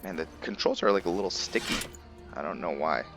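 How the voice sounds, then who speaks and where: A man's voice: low-pitched, brisk, gravelly, music in the background. A man giving casual let's play commentary, musing and explaining as he goes. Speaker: host of a gaming roleplay series.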